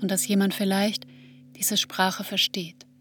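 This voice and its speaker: Female dream narrator actress for poetic radio drama, mid-pitched, deliberate and dreamy, faint music underneath. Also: flat delivery, fairly bright tone, close to an everyday voice. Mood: dreamy, lyrical.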